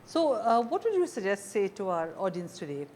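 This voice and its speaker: A woman with an Indian accent hosts an interview in a conversational way. Her mid-pitched voice swings widely in intonation, with faint noise behind it. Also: big pitch swings, normal speed, darkish tone. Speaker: interviewer, show host.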